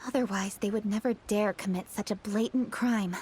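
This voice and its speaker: A woman's voice: medium pitch, fairly even intonation, moderate pace, darkish tone, a little hiss or hum, lightly acted. A woman playing a poised young noblewoman leader in a video game, serious and dutiful, speaking formally and firmly. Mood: indignant. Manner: composed, formal, firm. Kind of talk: video game character acting.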